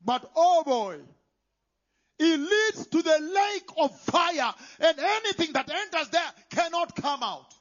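A man preaching a sermon, emphatic, moving between loud declarations and hushed whispers. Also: very high voice, expressive, takes it slowly. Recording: dull lo-fi sound.

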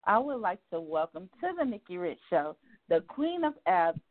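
A woman's voice: fairly low pitch, big pitch swings, normal speed. A woman from South Carolina hosts a talk show, enthusiastic and chatty as she asks her questions. Her voice comes through a narrow, phone-like line. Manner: enthusiastic, laughs often. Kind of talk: casual interview chat.

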